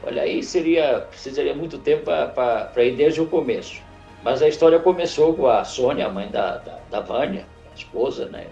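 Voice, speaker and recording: An older man from northern Portugal, a church elder, recalling his life slowly with restarts in a studio interview. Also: mid-range voice, lively intonation, creaky, mellow, muffled recording, faint music behind. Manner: halting, reflective storyteller with frequent restarts. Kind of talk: unscripted interview. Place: podcast studio.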